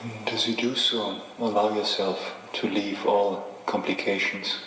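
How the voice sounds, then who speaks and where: Deep male meditation guide, unhurried and gentle, lots of room echo, bit of noise behind him. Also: little pitch movement. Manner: unhurried, gently instructing with pauses. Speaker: meditation teacher. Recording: echoey reverberant room with faint noise.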